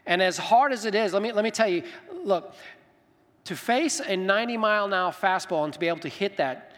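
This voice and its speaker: A man's voice: high-pitched, a bit bright. A middle-aged man from Zimbabwe, a senior minister giving a conversational sermon and addressing his listeners directly. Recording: long reverberant hall sound.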